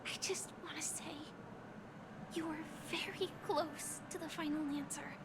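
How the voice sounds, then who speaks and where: Young woman game character, high voice, gentle, hushed, earnest, solemn storytelling, noisy background. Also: fairly even intonation, unhurried. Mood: gentle, reassuring. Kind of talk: video game voice acting, scripted dramatic dialogue.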